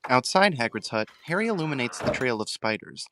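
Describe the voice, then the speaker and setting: A man's voice: mid-range voice, very animated, fairly quick, background noise. A man reading scripted narration for a fan audio drama, recorded close on the mic. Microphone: close mic, dry recording.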